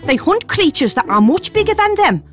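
A woman's voice: very high voice, brisk, faint music behind. A woman voicing an eager, boastful young water beetle who chats to the viewer in a children's cartoon. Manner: excitable, chatty, addressing the viewer. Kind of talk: children's cartoon character narration.

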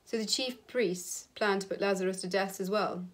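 Reader: woman, middle-aged, medium pitch, solemn and carefully phrased delivery of a scripted Bible passage. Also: normal speed. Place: indoor room with wood panelling.

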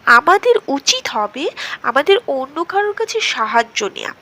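Woman voicing a high-voiced sensible cartoon tiger. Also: very animated, normal speed, sharp tone, exaggerated voice. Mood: concerned. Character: cautious, sensible male tiger friend. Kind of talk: children's cartoon storytelling. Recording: clearly noisy background.

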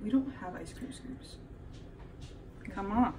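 A woman's voice: mid-range voice, takes it slowly, distant mic, noisy background. A young American woman chatting casually with friends in a home kitchen. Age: young adult. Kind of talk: casual chat. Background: loud music.

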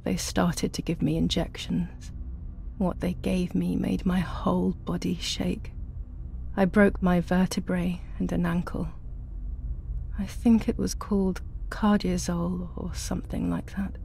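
Haunted, low-voiced young woman. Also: little pitch movement, creaky, darkish tone, a little hiss or hum. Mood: haunted, numb. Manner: somber, measured, confessional. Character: traumatized young woman recounting war and asylum, haunted, numb. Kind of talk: scripted dramatic game narration.

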